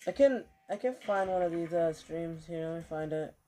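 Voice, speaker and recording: A man's voice: a little high, moderate pace, dark tone, slight background noise. A man chatting casually to his stream while he plays, rambling with lots of fillers and offhand swearing, keyboard clicks behind him. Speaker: game streamer.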